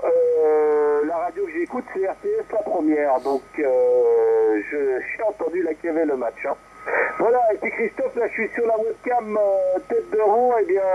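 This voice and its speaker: A man's voice: sits high, big pitch swings, normal speed. A man chatting casually as he leads an amateur radio round, heard over a radio transmission. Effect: two-way radio transmission. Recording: muffled, hissy amateur radio reception.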